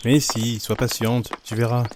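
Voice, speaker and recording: A man's voice: mid-range voice, level tone, a little slow, noisy background, natural voice. A man playing a patient, insistent young friend in an audio drama, reassuring and plain-spoken. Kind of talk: amateur audio drama acting.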